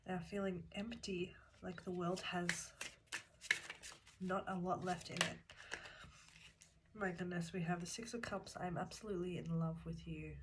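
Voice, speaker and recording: A woman with a slightly low voice reads tarot cards, a cold making her throat catch and fade. She talks straight to the listener in an earnest, calm way. Loud noise fills the recording behind her. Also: a little slow, long pauses. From Australia. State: has a cold, voice cutting in and out. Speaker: tarot reader. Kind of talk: pick-a-card tarot reading. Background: shuffling cards and clicks.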